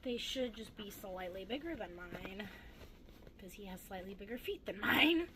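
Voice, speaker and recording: A teenage girl with a hoarse, gravelly voice, chatting casually and rambling through a crafting vlog with self-deprecating asides. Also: medium pitch, animated, normal speed, crowd noise. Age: teens. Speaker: craft vlogger.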